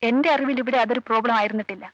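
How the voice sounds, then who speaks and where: Female family aunt, guarded, matter-of-fact, high voice, film drama, dull dry old recording. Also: fairly even intonation, very fast, fairly bright tone, no echo. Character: guarded, loyal female relative living in the household. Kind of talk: Malayalam film dialogue. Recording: dull old film soundtrack, faint music.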